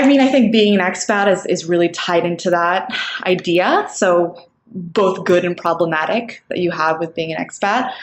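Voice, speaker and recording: Low-voiced woman with an American-sounding accent, echoey room. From Singapore. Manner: thoughtful, measured, with frequent ums. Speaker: nonprofit co-founder. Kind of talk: recorded talk for an online summit. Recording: echoey room, faint background noise.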